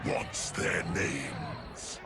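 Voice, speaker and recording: A man's voice: extremely low pitch, animated, very slow, gravelly, full character voice. An actor voicing a threatening, declamatory henchman of chaos in a video game. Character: menacing male villain henchman who serves chaos. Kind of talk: villain acting in a video game. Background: loud dramatic music.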